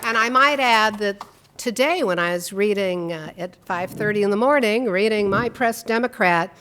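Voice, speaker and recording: A woman introduces speakers at a community workshop in a church hall, speaking into a microphone. Her voice sits in a middle range, and she reads out a bio with playful, warm asides. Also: medium pitch, moderate pace, a little hiss or hum. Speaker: panel moderator.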